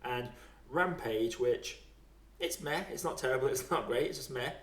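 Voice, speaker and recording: A British man hosts a relaxed film podcast in an informal, chatty way. His voice sits at an average male pitch. The room adds a clear echo around his words. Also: normal speed. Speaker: podcast host. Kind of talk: casual film podcast chat. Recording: roomy echo.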